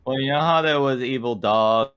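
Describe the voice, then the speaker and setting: A man's voice: medium pitch, normal speed, fairly bright tone, muffled recording. A man playing a tabletop roleplaying game and chatting casually with the group.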